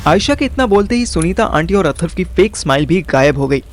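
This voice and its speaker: A man's voice: high voice, animated, brisk, faint music behind. A man narrating an audio story in the first person, colloquial and dramatic, mixing in slang. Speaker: narrator and host.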